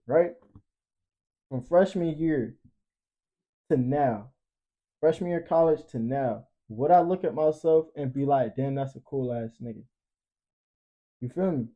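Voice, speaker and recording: A man's voice: fairly high pitch, dark tone. A twenty-year-old man talking casually and emphatically, preaching motivation in profane slang with repeated catchphrases. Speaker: podcast panelist.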